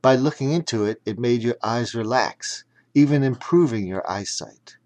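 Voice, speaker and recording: A man narrating a children's audiobook dramatically, in deliberate, emphatic, broken phrases. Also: mid-range voice, a little mellow.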